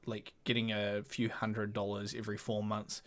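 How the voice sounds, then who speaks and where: A young man, co-hosting a podcast in animated, sweary casual chat. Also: fairly low pitch, normal speed. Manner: animated, swears, mock voices. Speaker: podcast co-host.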